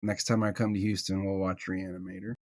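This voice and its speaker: A man talking as a guest on a podcast, relaxed and chatty, with dry, deadpan humor. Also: low voice, brisk, no echo. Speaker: podcast guest, ordination candidate. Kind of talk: casual podcast conversation.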